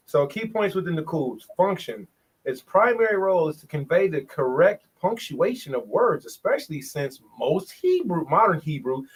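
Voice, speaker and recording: A man presenting in a bible study, explaining points from a shared screen and sounding out syllables, often repeating himself. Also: fairly high pitch.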